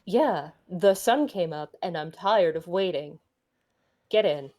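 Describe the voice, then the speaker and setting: A woman with a slightly low voice who talks very slowly, pausing between short phrases. She co-hosts a visual novel read-along, offering casual explanations and reading lines aloud. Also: animated, a little mellow.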